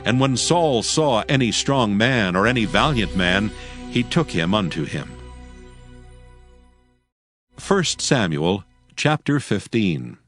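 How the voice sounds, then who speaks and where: Deep, animated male scripture narrator. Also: slow pace, pauses often. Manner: formal, declarative storytelling. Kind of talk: solemn dramatized scripture narration. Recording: music behind the voice.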